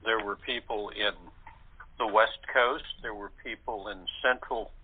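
Husky man on a phone line. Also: fairly low pitch, fairly even intonation, measured pace. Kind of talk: casual group chat. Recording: muffled tinny phone line.

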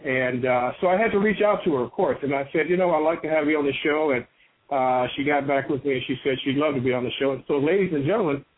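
A man hosting an interview show, talking haltingly with many fillers and compliments for his guest. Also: mid-range voice. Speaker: show host. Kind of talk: interview on a call-in style show. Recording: tinny phone-line sound with faint noise.

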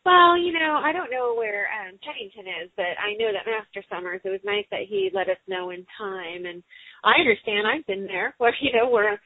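A woman's voice: a little high, big pitch swings, moderate pace, no room sound. A woman co-hosting a casual radio show, upbeat and supportive. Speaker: co-host. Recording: tinny phone-line sound.

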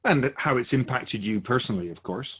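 A man's voice: mid-range voice, animated, fairly quick, husky, narrow, tinny audio. A man playing an otherworldly spirit guide who is amused by humans and speaks with pedantic sarcasm in a scripted radio conversation. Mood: matter-of-fact, teasing.

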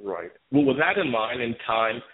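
A phone-like, band-limited recording of a man with a medium-pitched voice. He is a show host interviewing a guest conversationally, circling toward his question with hesitant, repeated phrases. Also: lively intonation, moderate pace, slight background noise.

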